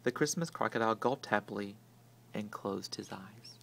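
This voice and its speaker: A man reading a children's story aloud in an expressive, theatrical way that swings from hushed to booming. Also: normal speed, creaky, a little hiss or hum. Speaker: storybook reader.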